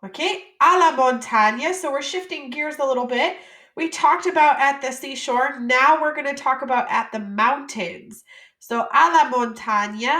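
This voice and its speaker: A woman from the United States teaching French vocabulary, saying each word slowly and then explaining it in casual English. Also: on the high side, pushed and bright, echoey room. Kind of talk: vocabulary lesson.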